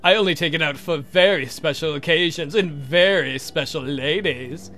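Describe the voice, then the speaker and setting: A man's voice: a little high, moderate pace, sharp tone, faint music behind. A man voicing a clumsy, flirtatious vampire count from a game, grumbling and swearing theatrically. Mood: suave flirting.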